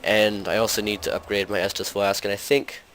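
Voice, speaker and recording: A young man with a lowish voice narrates his gameplay in a loose, rambling way, with lots of "like" and "um". His voice is a little rough from a cold. A faint hum of noise sits under the recording. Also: flat delivery, a little fast, fairly bright tone, low-quality recording. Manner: rambling, heavy on fillers like "like" and "um". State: slightly hoarse from a cold. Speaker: host of a gaming channel. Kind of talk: casual gameplay commentary.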